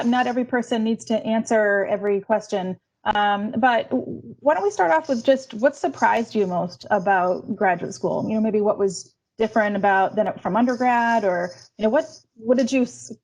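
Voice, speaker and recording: A woman's voice: a little high, darkish tone, low-quality recording. Woman moderating an online panel. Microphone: video call.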